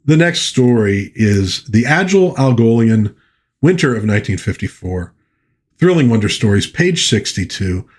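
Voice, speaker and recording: A man with a husky, slightly rough voice at an average pitch talks casually to his viewers. He rambles like an enthusiastic fan, doubling back to correct himself as he reviews. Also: big pitch swings, normal speed. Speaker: host of a pop culture channel reviewing old pulp fiction. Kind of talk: casual rambling book review to viewers, with short read-aloud passages.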